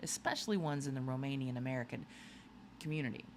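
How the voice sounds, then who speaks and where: A woman's voice: a bass voice, fast talker, background noise. A woman voicing an eager, clever boy who works as a secret agent in a children's storybook.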